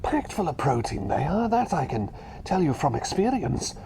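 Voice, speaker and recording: A man voicing a kindly, scatterbrained bird doctor in an ASMR roleplay, rambling soothingly between soft talk and breathy whispers. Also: on the high side, very animated, normal speed, noisy background. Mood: excited, whimsical. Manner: rambling, soothing, whimsical, often dropping to a whisper. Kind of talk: ASMR roleplay, personal attention.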